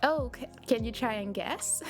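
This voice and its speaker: A woman's voice: on the high side, measured pace. A young woman from Quebec with a French Canadian accent, chatting casually with her livestream viewers, laughing often as she answers their messages. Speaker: streamer. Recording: music playing behind. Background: background music.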